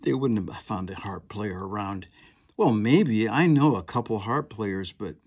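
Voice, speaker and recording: Rambling older man, husky voice. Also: on the low side, measured pace, a little mellow. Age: 70s. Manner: chatty, meandering, many fillers and asides. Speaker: piano and harp player. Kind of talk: rambling monologue to camera. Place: home.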